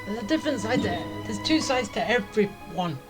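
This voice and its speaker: A woman's voice: medium pitch, lively intonation, a little fast. A woman giving casual playthrough commentary as a game streamer, musing to herself as she goes. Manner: musing to self. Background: game music.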